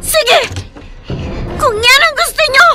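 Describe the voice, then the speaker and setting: A woman's voice: very high voice, slow, over music. A woman acting in a Filipino radio drama as a dutiful but outspoken teenage daughter, with emotional, emphatic delivery. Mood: hurt, angry.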